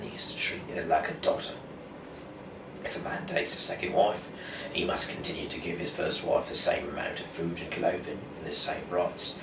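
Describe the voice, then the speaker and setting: A man from the UK who speaks haltingly and stumbles over words as an amateur host and reader. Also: low-pitched. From United Kingdom. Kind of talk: casual rambling talk, then reading scripture aloud. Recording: echoey room, distant mic, clear background noise.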